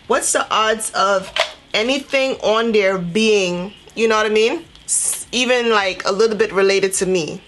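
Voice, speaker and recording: A woman from Trinidad and Tobago chatting casually as a beauty vlogger, telling a personal story in an animated, conversational way. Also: medium pitch, measured pace, a little hiss or hum. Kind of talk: casual chatty storytelling while doing makeup.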